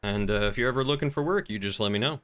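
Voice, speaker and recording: A man streaming a roleplay game, chatting casually and talking in character in a wry, measured way. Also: medium pitch, fairly bright tone. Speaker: game streamer playing a crime boss.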